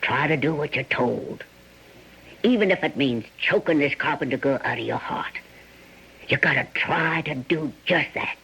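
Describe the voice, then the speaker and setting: An actor playing a careworn older farm parent in a radio drama, earnest, folksy and imploring. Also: male voice, a little high, moderate pace, creaky, pushed and bright. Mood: resigned, imploring. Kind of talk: old-time radio drama acting. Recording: slightly noisy radio drama recording.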